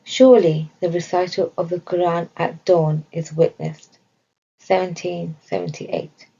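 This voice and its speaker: Low, creaky woman reading scripted study. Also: level tone, unhurried, mellow. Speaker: reader of religious study text. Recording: dull, muffled lo-fi recording.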